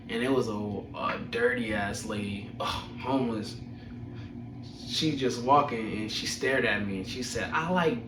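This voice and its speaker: A young adult man telling personal stories casually, with slangy, profane phrasing and a chuckling manner. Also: mid-range voice. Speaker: storyteller host of a personal podcast. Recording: echoey room, distant mic, faint noise.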